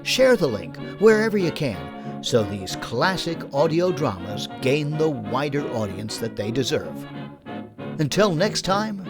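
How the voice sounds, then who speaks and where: Animated, higher-voiced male host. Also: measured pace. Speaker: host announcer. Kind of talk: scripted credits and sign-off. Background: music.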